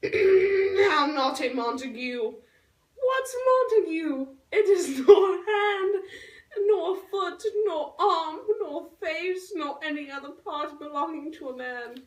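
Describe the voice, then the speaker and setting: A woman's voice: extremely high pitch, expressive, full character voice. A woman voicing a yearning, dramatic teenage Juliet puppet, declaiming Shakespearean verse theatrically in an amateur school show. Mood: giddy, pleading. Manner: slow, theatrical declaiming with big swells. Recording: echoey room, far from the mic.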